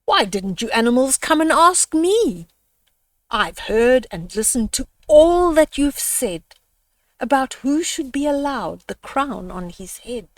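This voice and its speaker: A woman voicing a wise old owl in a children's storybook, lecturing the animals in a measured, authoritative way. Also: high voice, big pitch swings, no echo, far from the mic, heavily acted. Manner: measured, instructive, rhetorical. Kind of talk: children's storybook read-aloud.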